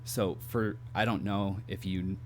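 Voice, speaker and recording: Slow-talking hoarse man hosting at home. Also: deep voice, fairly even intonation, a little mellow, a little hiss or hum. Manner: reflective, asks questions. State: hoarse, clearing his throat. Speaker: podcast host. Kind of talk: casual conversation.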